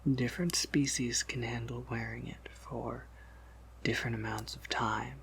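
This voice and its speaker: A man voicing a gentle, wry vampire apothecary in a breathy ASMR whisper, musing softly and reassuringly. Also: mid-range voice, flat delivery, measured pace, a little hiss or hum. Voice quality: breathy whisper with creaky edges. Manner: gentle, reassuring, hesitant musing with soft hums. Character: soft-spoken, patient, wry male vampire apothecary, collector of oddities.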